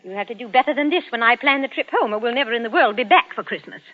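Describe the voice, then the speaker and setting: A domineering middle-class wife in old-time radio style. Her voice is high and very animated, clipped and scolding, on a muffled old recording. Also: rapid-fire. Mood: bossy, scolding.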